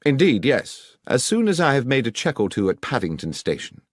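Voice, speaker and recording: A man voicing a brisk, incisive and coolly polite gentleman detective in an audiobook. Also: mid-range voice, very animated, no room sound, pitched above the performer's own voice. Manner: clipped, courteous, businesslike. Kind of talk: audiobook character dialogue.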